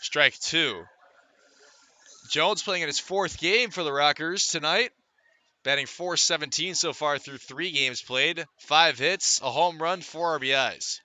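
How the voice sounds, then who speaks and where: An American man calling baseball live as a radio play-by-play announcer, reciting statistics between pitch calls. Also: unhurried, sharp tone. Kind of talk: live sports commentary.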